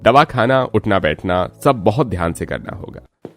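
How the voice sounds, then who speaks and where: A man puts on a grave cartoon doctor with a low voice. He speaks carefully, as one giving bad news. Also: expressive, unhurried, soft background music. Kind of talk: cartoon story acting.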